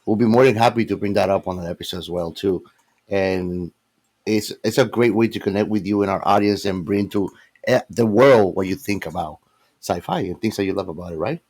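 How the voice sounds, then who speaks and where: A man's voice: low voice, a little fast, a little mellow, dry recording. A man chatting casually as a podcast co-host.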